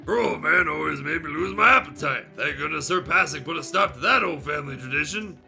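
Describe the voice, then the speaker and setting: A man's voice: a little high, lively intonation, fairly quick, creaky, pushed and bright, faint music behind, full character voice. A man voicing a brash, callous dinosaur barkeep with a hard, jovial manner in a fan fiction reading.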